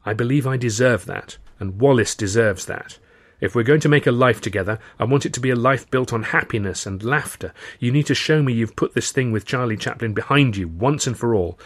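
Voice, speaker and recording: A man's voice: mid-range voice, a little fast, few pauses, lightly acted. A man voicing a loving but weary and firm young woman in audiobook dialogue, speaking earnestly and pointedly.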